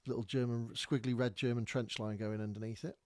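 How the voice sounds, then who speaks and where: A man's voice: mid-range voice, flat delivery, a little fast, no room sound, studio quality. A man from North Wales, telling a rambling, casual story as a podcast host while pointing things out on maps. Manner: chatty, rambling, with many fillers and restarts.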